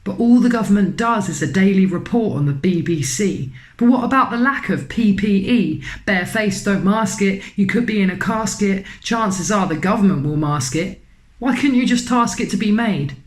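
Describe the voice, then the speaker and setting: A woman's voice: mid-range voice, expressive, normal speed. Echoey woman performing rhyming poetry. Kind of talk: spoken-word poetry with rhyme. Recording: echoey room.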